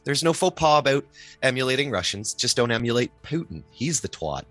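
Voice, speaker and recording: A man with a bright, sharp voice jokes around a game table and gives blunt opinions. Faint music sits under his talk. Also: mid-range voice, sing-song, a little fast. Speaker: player. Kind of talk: casual chat at a game table.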